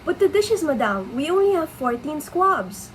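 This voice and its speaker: Woman playing a high-pitched, dismayed maid, noisy recording. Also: sing-song, normal speed. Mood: alarmed. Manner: protesting yet deferential. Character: dismayed, deferential household maid. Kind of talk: dramatic reading of a stage comedy.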